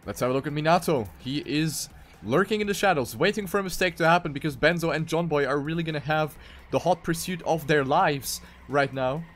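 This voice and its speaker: Higher-voiced man commentating a racing game. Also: a little fast, a bit bright, soft background music. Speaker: esports commentator.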